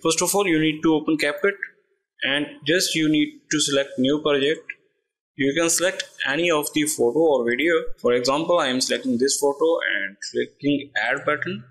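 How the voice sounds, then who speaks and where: A man's voice: fairly high pitch, normal speed, fairly bright tone, poor audio. A man hosting a tutorial channel, walking through app steps in a plain instructional voice-over with slightly non-native English phrasing. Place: voice-over, not recorded in the pictured place.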